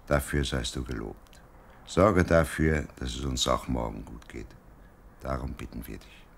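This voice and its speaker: An actor playing a kindly, devout father with a benevolent, measured manner in an audio drama. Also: extremely low pitch, creaky, slightly rough, exaggerated voice. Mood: devout, hearty. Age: middle-aged. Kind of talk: German audio drama acting.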